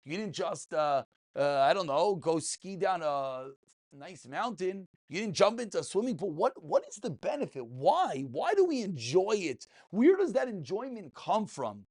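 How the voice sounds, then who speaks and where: A man's voice: sits high, sing-song, moderate pace, a little mellow. A man, a rabbi teaching an informal religious class in an emphatic way, posing rhetorical questions and repeating phrases. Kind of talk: informal Talmud and ethics lecture.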